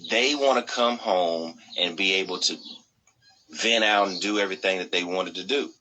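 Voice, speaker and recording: A man's voice: sits low, normal speed, sharp tone, low-quality recording. An American man in casual podcast chat as co-host, blunt and slangy, repeating himself for emphasis.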